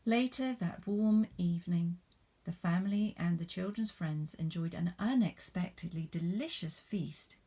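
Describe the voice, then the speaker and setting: A woman reading a picture book aloud to children in an expressive, animated way, putting questions to young listeners. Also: on the low side, a little slow, breathy edge. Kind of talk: expressive storybook read-aloud.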